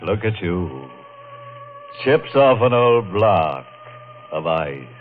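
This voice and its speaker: An actor playing a sardonic, macabre host who mockingly teases listeners in a theatrical 1950s radio horror style. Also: male voice, low-pitched, big pitch swings, slow, full character voice. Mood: mocking, gleeful. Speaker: host and narrator of mystery show. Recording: muffled old radio recording over loud music.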